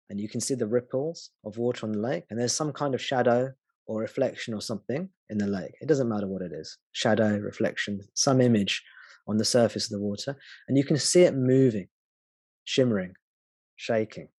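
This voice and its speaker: A man's voice: mid-range voice, moderate pace, a little mellow, dry recording. A man leading a satsang, explaining spiritual teachings patiently and thinking aloud as he goes.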